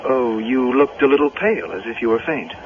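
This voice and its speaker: A noisy, dull vintage radio recording carries a male actor as a gallant attorney. His pitch is medium. He is polite, solicitous and sure of himself. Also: expressive, brisk, close to an everyday voice. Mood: courteous. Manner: courteous, solicitous. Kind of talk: 1970s radio drama acting.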